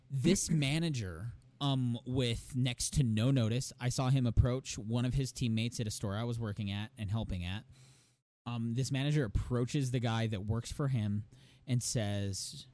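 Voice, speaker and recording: A man's voice: medium pitch, a little slow, darkish tone, no echo. A young man with a phlegmy, strained voice, telling a heated, profane story about a bad manager in casual chat. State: phlegmy, losing his voice.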